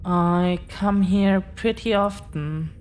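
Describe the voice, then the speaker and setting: Woman acting very slow-spoken, earnest dragon-blooded girlfriend. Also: medium pitch, darkish tone, a little hiss or hum, lightly acted. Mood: earnest, solemn. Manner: halting, earnest, deliberate pauses. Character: affectionate, protective dragon-blooded girlfriend confessing her secret. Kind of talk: intimate audio roleplay.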